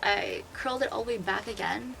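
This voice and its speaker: A young woman with a sore, hoarse throat, chattily explaining step by step how she curls her hair. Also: mid-range voice, fairly quick, fairly bright tone, rough recording. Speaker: beauty vlogger and hairstylist. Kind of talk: casual hair tutorial. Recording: noticeable background noise.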